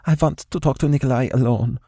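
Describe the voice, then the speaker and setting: A man's voice: mid-range voice, steady tone, fast, dark tone, husky, no echo, studio quality, voice pushed up. A man reading an audiobook, voicing a spirited, blunt young woman in a raised voice. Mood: insistent. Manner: insistent, blunt. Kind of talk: audiobook character voice.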